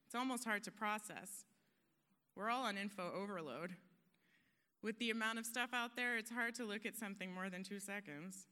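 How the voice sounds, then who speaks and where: A woman's voice: medium pitch, moderate pace, pushed and bright. A woman giving an earnest, emphatic motivational talk on a conference stage, now and then laughing at herself. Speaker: conference speaker. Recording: long hall reverb.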